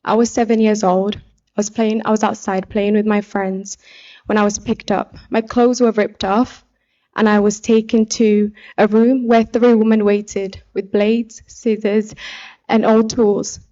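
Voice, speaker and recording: Young woman from somalia giving a heartfelt testimony speech, pretty flat pitch, kinda dull lo-fi audio. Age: young adult. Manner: earnest, deliberate public speaking. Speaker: pageant contestant and activist speaker.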